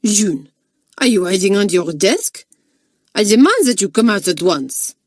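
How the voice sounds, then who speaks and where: A bad-tempered French schoolmistress, put on by a woman storyteller, barking commands with a French accent. She snaps at the class, loud and haughty. The sound is dry and close. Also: mid-range voice, big pitch swings, normal speed, no room sound. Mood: commanding. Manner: imperious, snapping.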